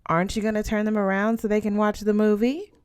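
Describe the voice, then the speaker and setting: A woman's voice: medium pitch, little pitch movement, quick pace, a little mellow, clean tone, no room sound, studio quality, natural voice. Woman reading as an eager, pestering little girl. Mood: pleading.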